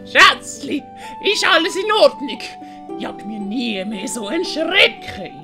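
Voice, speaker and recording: A woman's voice: high-pitched, big pitch swings, takes it slowly, bright, forceful tone, faint music behind, exaggerated voice. A woman voicing a doting, bossy, miserly master in an audio story, with a Swiss German accent and a cooing, commanding manner.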